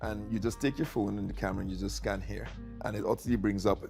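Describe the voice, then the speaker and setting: A man's voice: on the low side, fairly even intonation, rapid-fire, creaky. A middle-aged man from Guyana, hosting a casual interview and often repeating words between fillers. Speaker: tea company founder hosting the conversation. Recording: light music in the background.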